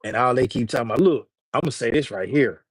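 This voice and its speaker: Man, livestream host, casual and slangy, mid pitch, dry closed-in sound. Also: quick pace, breathy edge, dry recording. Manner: loose, slangy, talks straight to chat. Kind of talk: casual livestream chat with viewers.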